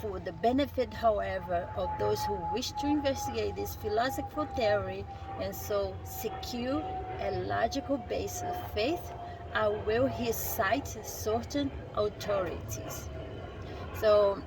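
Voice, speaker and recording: A woman with a Brazilian Portuguese accent, a native Portuguese speaker, reads a book aloud outdoors while sitting on a rock. She reads haltingly, stumbles and restarts on words, and adds casual asides. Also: mid-range voice, gravelly, loud music behind the voice, rough recording. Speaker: vlogger reading a self-help book.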